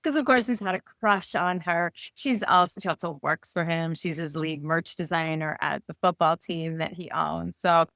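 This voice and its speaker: A woman author being interviewed, pitching her books conversationally with upbeat, playful storytelling. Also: normal speed, gravelly, few pauses, a bit bright, no echo, clean studio sound.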